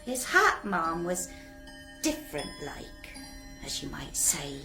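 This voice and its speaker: An actress playing a nervous, deferential housemaid in a radio play, with hesitant, plain rustic speech. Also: female voice, low-pitched, big pitch swings, slow, vocal fry, sharp tone, soft background music, exaggerated voice. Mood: nervous, meek.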